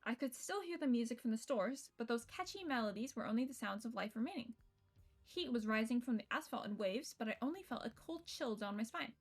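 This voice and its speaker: A woman streamer reading visual novel narration aloud in a playful, expressive storytelling way, with casual asides. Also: on the high side, brisk. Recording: music behind the voice.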